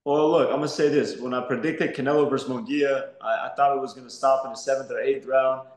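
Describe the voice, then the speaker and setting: A man's voice: mid-range voice, steady tone, fast. A young man, a professional boxer, giving earnest interview answers that stumble and repeat words often. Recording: roomy echo.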